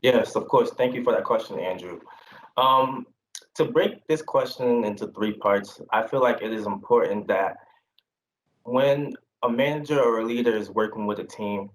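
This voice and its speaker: A young man from Haiti speaking as a panelist on a video call, earnest and measured. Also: medium pitch, a little hiss or hum, rough recording. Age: young adult. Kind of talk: virtual panel discussion.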